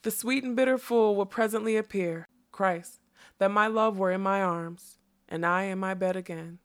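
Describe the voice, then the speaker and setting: A woman's voice: medium pitch, normal speed, smooth, natural voice. Casting: female reader as flighty male butterfly for a storybook, theatrical, rambling, songful, whimsical nonsense-spouter. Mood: singing, wistful. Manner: theatrical, rambling, bursting into song. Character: whimsical scatterbrained male butterfly who sings and quotes nonsense. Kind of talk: acted audiobook storytelling.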